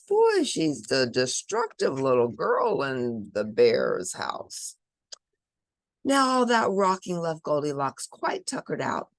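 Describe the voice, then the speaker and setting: Lady reading a kids story, lowish voice with some creak, really expressive, chatty asides. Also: slow pace, no echo. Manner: expressive, dramatic emphasis. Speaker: children's storybook reader. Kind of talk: animated read-aloud for children with chatty asides.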